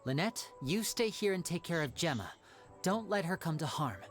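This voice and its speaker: A suave young male magician in a game, smooth and theatrical, with a lively, expressive delivery. His voice sits a little low for the performer, with faint music under it. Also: moderate pace.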